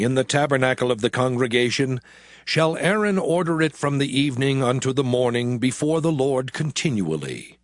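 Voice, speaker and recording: Man playing solemn male lawgiver, declamatory delivery, mid-pitched, very varied intonation, dramatized scripture, background music. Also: no echo, studio quality, lightly acted. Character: solemn male lawgiver pronouncing divine statutes.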